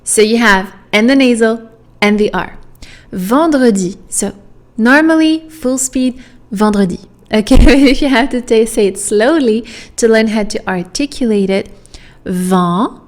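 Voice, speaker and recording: A woman's voice: on the high side, measured pace. A native French-speaking woman tutoring pronunciation, drilling sounds one at a time and checking in with "okay?". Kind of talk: pronunciation lesson.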